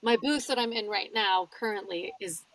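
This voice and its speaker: A woman, a guest audiobook narrator, chatting casually in an interview from a small padded home booth and telling her stories with lively swings in her voice. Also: moderate pace, far from the mic, low-quality recording. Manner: animated storyteller with wide pitch swings.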